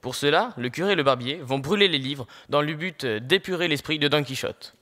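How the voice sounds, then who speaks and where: A man's voice: a little high, a little fast, pushed and bright. A teenage man reading scripted narration for a student audio drama. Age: teenager, high-school student.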